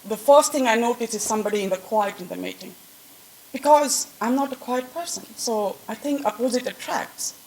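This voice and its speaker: A woman's voice: on the high side, moderate pace, gravelly, a little mellow, a little hiss or hum, low-quality recording. Chatty woman with an Indian accent. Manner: chatty, laughing at own jokes. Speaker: colleague giving a tribute speech. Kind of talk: speech at a staff event. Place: event room with an audience.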